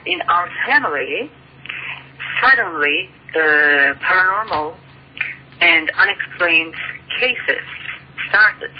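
Turkish accented woman explaining things earnestly, muffled phone sound, bit of hiss. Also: lowish voice. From Turkey. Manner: earnest, explanatory, non-native phrasing. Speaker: guest author and ufologist. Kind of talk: interview over a phone line. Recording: muffled phone-line recording with faint hiss.

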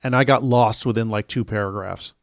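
A man hosting a casual podcast conversation, relaxed and thinking aloud. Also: on the low side, brisk, no echo, studio quality.

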